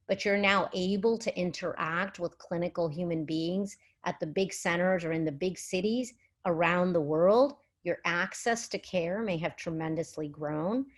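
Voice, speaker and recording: A middle-aged woman speaking as a physician at a virtual summit, explaining in an articulate, conversational way with emphasis and rhetorical questions. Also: lowish voice.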